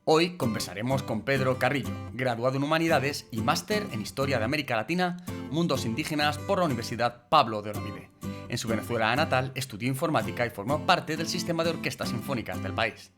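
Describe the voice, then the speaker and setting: A man's voice: medium pitch, animated. A man hosting the channel, formally introducing a guest and asking questions. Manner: measured, formal delivery. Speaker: interviewer host. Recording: guitar music behind voice. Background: guitar music.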